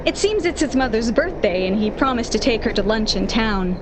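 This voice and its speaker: Woman acting high-voiced, lovestruck radio drama heroine. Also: expressive, a little fast, noisy background, lightly acted. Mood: dreamy, confident. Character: lovestruck, stubbornly hopeful young single woman, brittle, anxious.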